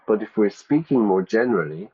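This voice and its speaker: A man's voice: on the low side, expressive, brisk, darkish tone, breathy edge, muffled recording. A man teaching English to learners, reading out quiz questions and repeating the answer options. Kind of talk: ESL quiz lesson.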